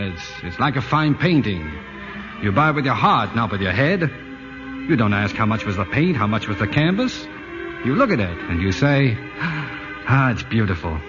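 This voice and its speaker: An actor playing a harried, middle-aged city ad man, brisk and exasperated, in 1940s radio acting. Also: medium pitch, lightly acted. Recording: dull old radio broadcast with music.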